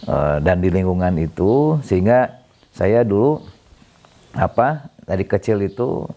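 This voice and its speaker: A middle-aged man from Bandung, explaining council work in an interview, pausing often with fillers and self-corrections. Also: deep voice, animated, warm tone. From Bandung, Indonesia. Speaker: city council member, interview guest. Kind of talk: relaxed explanatory interview talk.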